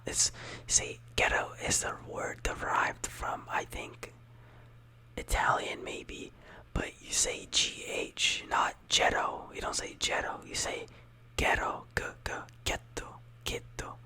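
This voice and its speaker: A speaker whispering a casual ASMR lesson, softly repeating words and rambling with lots of fillers. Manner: soft whispering throughout. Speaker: teacher of Italian words.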